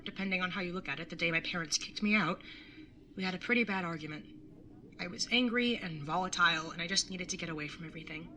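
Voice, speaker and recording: A woman's voice: on the low side, pushed and bright, slight background noise. A woman playing a wry, jaded young artist bartender in an audio drama, sardonic and casually sweary as narrator and older sibling. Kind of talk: first-person audio drama narration and dialogue.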